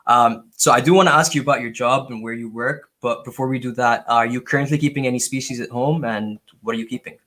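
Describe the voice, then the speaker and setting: A man hosts a relaxed interview podcast. His voice sits at an average pitch, and he talks in an informal, enthusiastic way. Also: fast talker, few pauses. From Canada. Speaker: podcast host. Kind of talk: casual interview.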